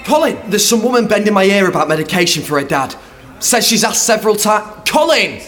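An actor playing a kind, busy young volunteer in naturalistic audio drama dialogue. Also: male voice, sits high, very animated, fairly quick, fairly bright tone, faint music behind. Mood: brisk, concerned. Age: young adult.

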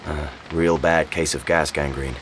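A man playing a brisk, confident, courteous army surgeon in a period audio drama, affable and authoritative. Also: rumbling low, fairly even intonation, a little hiss or hum.

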